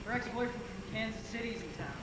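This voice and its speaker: A man's voice: high-pitched, fast, lightly acted. A young man playing a gloomy, sardonic oddball in a stage comedy, with a theatrical delivery. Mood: glum. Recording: echoey noisy hall from far off, loud audience.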